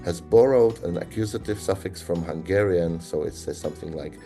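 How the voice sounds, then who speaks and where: A man with a Czech accent answers interview questions in English, his voice fairly low and warm with a relaxed, mellow tone. He talks in a reflective, halting way, pausing often to find his words. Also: soft background music. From Czech Republic. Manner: reflective, halting, with frequent pauses. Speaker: guest linguist.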